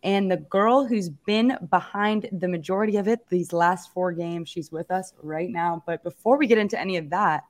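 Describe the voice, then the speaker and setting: A woman's voice: fairly low pitch, normal speed, few pauses. Upbeat young woman co-host. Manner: upbeat, introduces guest. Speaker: podcast co-host. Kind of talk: friendly interview hosting.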